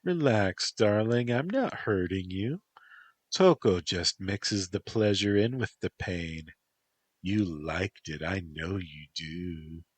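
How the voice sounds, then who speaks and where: A man's voice: low voice, slow, breathy edge, no room sound, full character voice. A man voicing a domineering, possessive young woman who swings from cooing to screaming. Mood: teasing.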